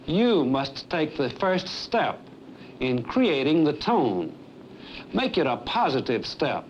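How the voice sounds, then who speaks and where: An American man in his fifties delivers a formal staff address as a company executive. His voice is fairly high and very animated, with earnest, deliberate emphasis. It comes off a muffled 1970s film soundtrack with faint noise. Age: 50s. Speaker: airline executive presenting a staff training film.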